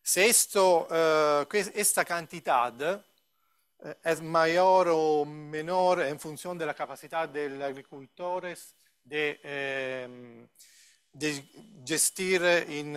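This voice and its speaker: A middle-aged man with an Italian accent, a native Italian speaker giving a conference talk in a second language, halting and filling pauses often. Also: a little high, fairly bright tone, smooth. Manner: halting, many fillers, mixes in Italian words. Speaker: university professor presenting.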